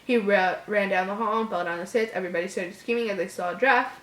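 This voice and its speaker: A woman's voice: medium pitch, fast, a bit bright. Female story reader, horror material, trips over lines, drops in exasperated commentary; echoey room sound. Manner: stumbles over words, breaks off into mocking, exasperated asides. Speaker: host reading a story aloud. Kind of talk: reading a horror story aloud with exasperated asides.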